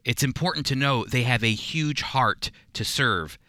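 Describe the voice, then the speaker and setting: A man's voice: mid-range voice, measured pace. A man hosting a faith-based radio show, earnest and conversational, often restarting his sentences as he introduces and interviews a guest. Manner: earnest, with frequent restarts and fillers. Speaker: radio show host and teacher.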